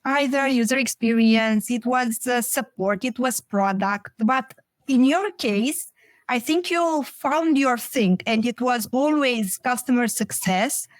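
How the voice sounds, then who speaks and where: Woman, podcast host, fairly high pitch, interview style, dry sound. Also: takes it slowly, dry recording.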